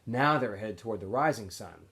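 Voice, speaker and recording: A man reading a novel aloud as a teacher would for students, in an expressive, animated storytelling way with phrases that often trail off softer. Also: lowish voice, fairly quick. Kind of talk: expressive audiobook-style read-aloud narration.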